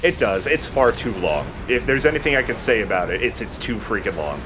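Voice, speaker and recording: A man's voice: fairly low pitch, very animated, brisk, noisy background. A man giving casual, rambling and jokey commentary as he hosts a video game playthrough. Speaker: let's play host.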